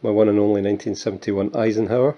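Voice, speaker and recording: Scottish guy in his 50s, lowish voice, rambling and joking about himself, muffled lo-fi sound. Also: steady tone, rapid-fire, mellow. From Scotland. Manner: chatty, self-mocking, many fillers and restarts. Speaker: coin collector. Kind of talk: casual show-and-tell vlog.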